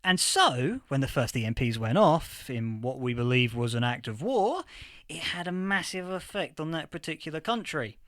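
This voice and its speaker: Animated, higher-voiced male professor played by an actor. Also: moderate pace, no room sound, clean studio sound. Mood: emphatic, explanatory. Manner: explanatory, emphatic lecturing. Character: animated professor of history in a post-apocalyptic future. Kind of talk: scripted fiction podcast interview.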